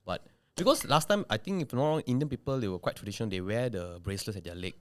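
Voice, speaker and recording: A man's voice: fairly low pitch, lively intonation, quick pace, smooth. A man with a Malaysian English accent, chatting casually on a podcast, laid-back and laughing often. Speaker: podcast co-host. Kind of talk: casual chat.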